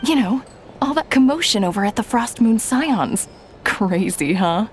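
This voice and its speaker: A woman's voice: fairly high pitch, lively intonation, measured pace, soft background music. An actress playing a townswoman who passes on gossip with a knowing, teasing tone. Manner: confiding gossip, slightly teasing. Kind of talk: game cutscene voice acting.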